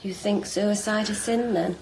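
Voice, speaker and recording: An actress playing a proud, lovelorn schoolmistress in a period radio drama, speaking frankly and deliberately with emotional intensity. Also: female voice, mid-range voice, fairly even intonation, vocal fry, a little mellow, husky, full character voice, rough recording. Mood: grave, quiet. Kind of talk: BBC radio drama acting.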